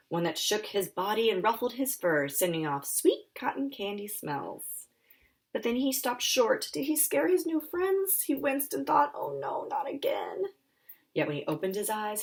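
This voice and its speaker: A woman, an author, reading her children's picture book aloud and talking it through for a young audience, with expressive delivery. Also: mid-range voice. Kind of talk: live children's story time reading and friendly explanation.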